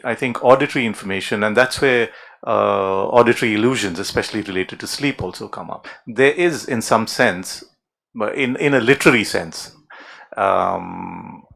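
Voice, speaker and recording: Low-voiced man musing with many pauses. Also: vocal fry, fairly bright tone. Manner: thoughtful, hesitant, many fillers. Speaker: panel moderator. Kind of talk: reflective panel discussion.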